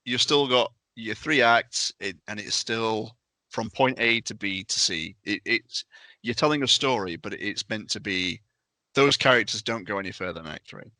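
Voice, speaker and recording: A man with a fairly low, bright and forceful voice chats on a podcast panel. The sound is dry and close, with no room on it. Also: moderate pace, no room sound. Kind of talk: casual podcast discussion.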